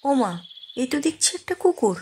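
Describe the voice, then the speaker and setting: A woman's voice: high voice, very animated, brisk, a little mellow, slight background noise. A woman playing a thrifty, scolding old wife. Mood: alarmed. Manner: practical, scolding.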